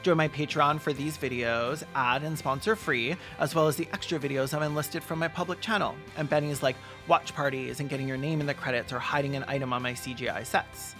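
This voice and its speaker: A video essay host doing sarcastic comedic commentary with mock-serious, deadpan jokes. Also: medium pitch, a little fast, hardly any pauses, faint music behind.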